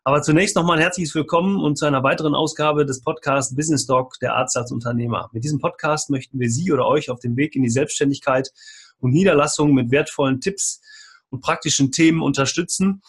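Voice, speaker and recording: A man hosting an interview podcast, moving between scripted presentation and questions, with a husky voice. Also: a little high, fast talker.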